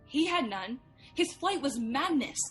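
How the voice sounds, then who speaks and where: A woman's voice: high-pitched, expressive, unhurried, soft background music. A woman playing an anguished noble mother, bitter and frightened, in theatrical Shakespearean radio drama. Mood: bitter, indignant. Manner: theatrical, emotional, declamatory.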